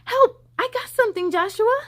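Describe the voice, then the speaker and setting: A woman's voice: extremely high pitch, normal speed, voice pushed up. A woman voicing an eager, hopeful young boy in a children's storybook. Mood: panicked, pleading. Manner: eager, expressive. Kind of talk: children's storybook read-aloud.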